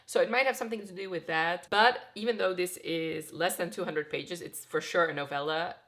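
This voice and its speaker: A woman's voice: lowish voice, brisk, fairly bright tone. A young woman chatting casually about a book she is reading, rambling with lots of "like", her voice a bit off because she is getting sick and tired. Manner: rambling, filler-heavy, frequent "like". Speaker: book vlogger.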